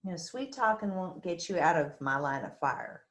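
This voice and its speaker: A woman's voice: on the low side, lively intonation, normal speed, natural voice. A woman in a screenplay table read playing a tough, protective country bar owner and mother, blunt and wry. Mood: wry, threatening.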